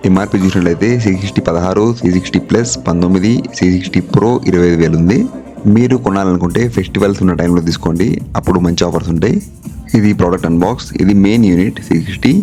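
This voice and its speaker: A man's voice: deep voice, mellow. A man with a Telugu accent narrating a product review vlog, speaking directly and mixing English terms into his talk. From India. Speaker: vlogger.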